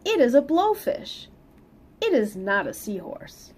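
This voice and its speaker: Casting: middle-aged woman storyteller, higher voice, very lively intonation, picture-book reading for young children. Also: unhurried. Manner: animated, sing-song emphasis for young listeners. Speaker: read-aloud storyteller. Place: small plain indoor room.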